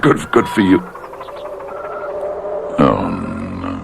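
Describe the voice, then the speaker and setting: An actor playing a desperate, worn-down, sickly American husband in an old-time radio drama, plain-spoken and anguished. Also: male voice, extremely low pitch, huge pitch swings, extremely slow, vocal fry, heavily acted. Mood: despairing, anxious. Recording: noisy vintage radio drama recording.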